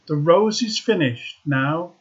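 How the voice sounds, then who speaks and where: A man's voice: fairly high pitch, expressive, slow, muffled recording. A man doing an urgent talking tree. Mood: excited. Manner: urgent, pleading. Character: urging tree in a fairy tale.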